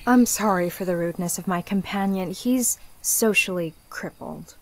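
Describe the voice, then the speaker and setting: A woman's voice: mid-range voice, moderate pace, a little hiss or hum, natural voice, poor audio. A woman voicing a curious, polite but sceptical young female traveler in a visual novel. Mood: polite, probing. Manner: polite, inquisitive.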